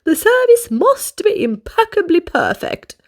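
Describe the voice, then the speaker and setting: A woman's voice: very high-pitched, sing-song, measured pace, pitched above the performer's own voice, heavily acted. A woman voicing a pompous, fussy male restaurant manager in a read-aloud storybook, speaking in a grand, overly formal way.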